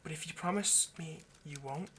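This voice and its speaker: A man's voice: a little high, moderate pace, slight background noise, natural voice. A man playing an earnest, lovestruck vampire in an intimate roleplay monologue, speaking hesitantly and persuasively. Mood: tender, grave. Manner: hesitant, stammering, gently persuasive. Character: earnest, lovestruck male vampire coaxing a partner.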